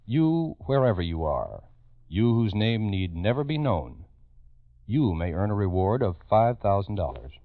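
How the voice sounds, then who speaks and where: Deep, solemn man hosting vintage radio crime show. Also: big pitch swings, unhurried. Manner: solemn, dramatic, emphatic address to listeners. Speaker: radio host and narrator. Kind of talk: 1950s true-crime radio narration. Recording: dull vintage radio recording.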